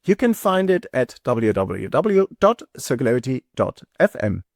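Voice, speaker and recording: Gravelly-voiced male podcast host. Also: medium pitch, dry recording. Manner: lively, measured intro, softer hesitant questions. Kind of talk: podcast interview.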